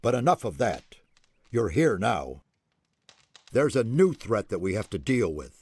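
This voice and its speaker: An actor playing a solemn, commanding sorcerer mentor in a fantasy game, with a husky voice and deliberate, theatrical delivery. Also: male voice, fairly low pitch, very animated, darkish tone, slight background noise. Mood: grave, measured.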